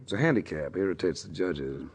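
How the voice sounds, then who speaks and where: An actor playing a hard-boiled former spy with clipped, wisecracking replies, in 1940s radio acting style. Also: male voice, low voice, expressive, a little fast, slightly rough, full character voice. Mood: wry. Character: wry hard-boiled male ex-spy adventurer.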